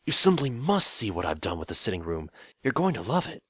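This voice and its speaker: A man voicing a flamboyant stallion alicorn, a demon king obsessed with interior decor, who gushes with breathless excitement. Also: on the low side, brisk, slightly rough, no echo, pitched above the performer's own voice, exaggerated voice. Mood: gushing, eager.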